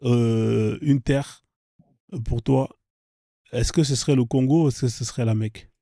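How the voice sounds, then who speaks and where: A man's voice: mid-range voice, fairly even intonation, a little slow, creaky, dark tone, no echo, studio quality. A man hosting a live online debate, pressing his guest with repeated, insistent questions.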